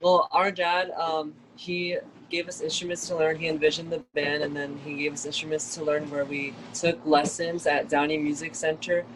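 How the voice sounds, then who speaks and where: A 21-year-old man from Los Angeles, a guitarist guest telling his band's story in a relaxed, easygoing way. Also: a little high, normal speed, hardly any pauses, slight background noise, rough recording. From Los Angeles, USA. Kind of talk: casual interview.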